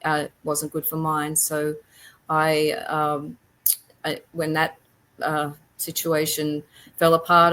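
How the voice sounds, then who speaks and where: An Australian-accented woman talks as a guest on a podcast. Her voice is notably low for a woman. She speaks conversationally, feeling her way through thoughts in halting, reflective phrases. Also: a bit bright, poor audio. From rural Australia. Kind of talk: casual interview chat.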